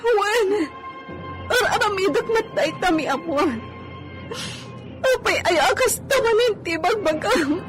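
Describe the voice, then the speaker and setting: A woman's voice: extremely high pitch, lively intonation, a little slow, long pauses, loud music behind the voice. A woman with an Ilocano accent playing a protective, plaintive young mother in a radio drama. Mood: tearful, pleading. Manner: pleading, plaintive. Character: tearful, protective young mother, the master's beloved.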